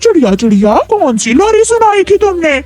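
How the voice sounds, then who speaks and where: A woman's voice: very high voice, sing-song, normal speed, darkish tone, no echo, soft background music, voice pushed up. A woman voicing an envious, boastful female crow in a children's story, pushy and wheedling. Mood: pushy, eager.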